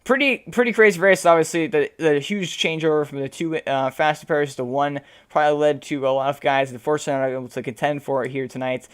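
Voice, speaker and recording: A man with a hoarse, fading voice interviewing sim racing drivers after a race, rambling with many fillers. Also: on the high side, fairly even intonation, a little fast, hardly any pauses. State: losing his voice.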